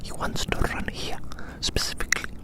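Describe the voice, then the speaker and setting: An ASMR performer whispering a rambling, theatrical roleplay monologue outdoors, softly repeating phrases in wordy language. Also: moderate pace. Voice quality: soft unvoiced whisper. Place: outdoors in a large open field.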